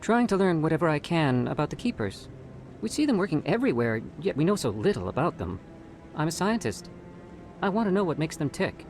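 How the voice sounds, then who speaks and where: A man's voice: a little high, sing-song, a little fast, a little mellow, soft background music. A man playing a nervous, earnest scientist in a video game, with a hesitant, guarded way of talking. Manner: hesitant, stammering, evasive.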